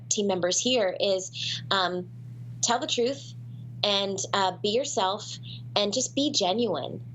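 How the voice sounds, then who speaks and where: Woman guest, 30s, chatty storyteller, lots of ums and restarts, middle pitch, faint hum behind. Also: dull audio. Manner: chatty, many fillers and restarts. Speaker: voice actress guest. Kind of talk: casual interview storytelling.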